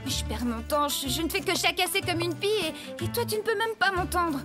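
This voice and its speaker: A feisty young cartoon sister with a very high voice. She chatters expressively and boldly, like someone who will not back down. Music plays clearly behind her. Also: fairly quick. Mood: exasperated. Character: spirited, talkative, bold young sister of the hero. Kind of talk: French animated series dubbing.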